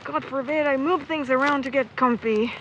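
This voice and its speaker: A woman's voice: sits high, moderate pace, natural voice. A young woman playing a stubborn, grumbling searcher in an audio drama, candid and wry as she thinks aloud. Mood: grumbling, musing. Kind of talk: audio drama monologue into a recorder. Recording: muffled, noisy outdoor-style ambience.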